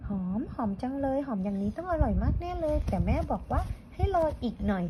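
A woman voicing a group of young, eager, impatient little mice in a storybook reading, with a high, whiny childlike voice. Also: lively intonation, quick pace, lightly acted. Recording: dull lo-fi with faint noise.

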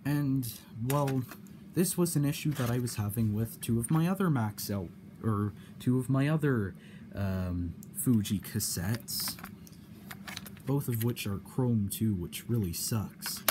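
Slow-paced, hesitant man with noise behind. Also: medium pitch. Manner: hesitant, halting delivery with repeated phrases. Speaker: cassette hobbyist demonstrating a problem. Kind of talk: casual explanatory vlog. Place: indoor room at home. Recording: clear background noise.

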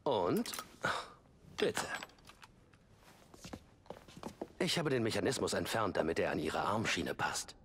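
A flustered young gentleman inventor from a Victorian video game. He is formal and courteous, with a very lively, swooping delivery. There is clear noise behind him. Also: low voice, moderate pace. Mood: earnest. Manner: polite, hesitant, formal. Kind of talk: German video game dub acting.

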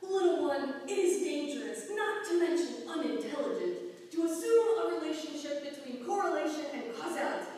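A woman voicing a kindly, gently chuckling old hat seller man in a live puppet show, with quaintly formal, polite speech. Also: high-pitched, animated, normal speed, few pauses. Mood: reasoning. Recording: echoey hall, far from the mic.

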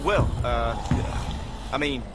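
A man's voice: medium pitch, expressive, takes it slowly, very noisy. A man playing a flustered, defensive young survivor in a zombie video game. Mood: sheepish. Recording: loud music behind game dialogue.

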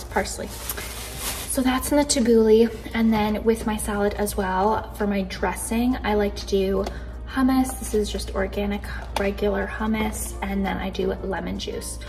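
A woman's voice: mid-range voice, creaky. Bubbly young woman chatting casually. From Canada. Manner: bubbly, chatty, easily excited. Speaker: vlogger. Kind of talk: casual grocery haul chat. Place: kitchen indoors.

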